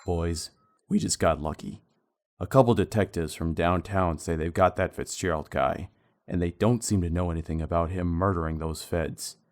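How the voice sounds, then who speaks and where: A man acts out a smug lawman plotting a capture in a comic book audio drama. He speaks in a deep, assured, conspiratorial voice. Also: moderate pace, a little mellow, a little hiss or hum, lightly acted. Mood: smug, scheming. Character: scheming, smug male law officer hunting a fugitive.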